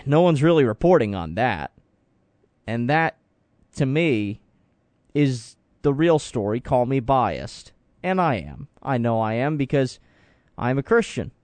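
A man's voice: mid-range voice, sing-song, measured pace, long pauses, a little mellow, dry recording. Earnest opinionated man hosting talk radio. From Florida. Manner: earnest, opinionated, with frequent restarts. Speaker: radio talk show host. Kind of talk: morning call-in talk radio. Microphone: studio microphone.

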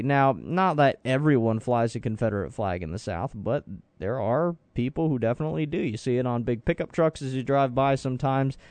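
A man's voice: medium pitch, dry recording. A man hosting a morning call-in radio show, talking in an earnest, opinionated way with frequent restarts. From Florida. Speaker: radio talk show host. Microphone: studio microphone.

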